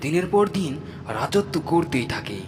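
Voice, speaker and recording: Reverberant, highish male story narrator. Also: very animated, measured pace, noisy background. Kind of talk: dramatic storybook narration for a cartoon. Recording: long hall reverb.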